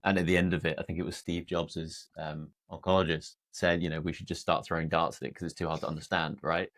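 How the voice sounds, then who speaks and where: Low-voiced British man interviewing casually. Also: flat delivery, quick pace, a bit bright. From United Kingdom. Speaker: podcast host, interviewer.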